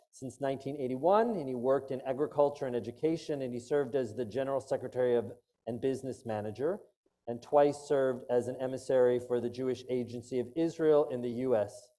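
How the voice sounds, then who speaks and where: A rabbi in a synagogue sanctuary introducing a guest, his voice dark and mellow. He reads credentials in a measured, steady way, at a middle pitch. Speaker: rabbi hosting a service discussion.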